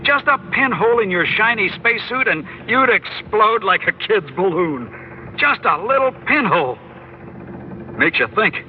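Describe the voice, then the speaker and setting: An actor playing a tense, brooding astronaut commander in an old radio drama, clipped and cold. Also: male voice, high-pitched, animated, normal speed. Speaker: spacecraft commander. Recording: dull old radio recording, faint hiss.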